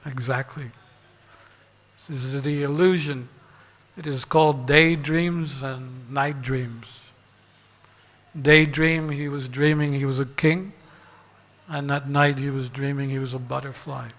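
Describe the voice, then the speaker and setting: A man giving a religious sermon as a monk and teacher, deliberate, pausing and repeating phrases for emphasis. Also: medium pitch, fairly even intonation, fairly bright tone. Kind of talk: sermon with parables and rhetorical questions. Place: large reverberant temple hall. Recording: long hall reverb.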